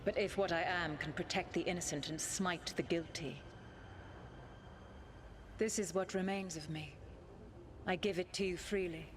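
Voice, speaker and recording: A woman playing an ancient, proud elven goddess spirit in a video game, speaking formally and with haughty, deliberate command. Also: lowish voice, fairly even intonation, slight background noise, lightly acted. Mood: solemn, accepting. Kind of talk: dramatic video game acting.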